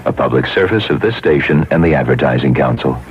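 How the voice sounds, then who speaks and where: A man's voice: rumbling low, lively intonation, fast talker. A man reading a scripted public service radio advertisement as an announcer. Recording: dull old radio, faint noise.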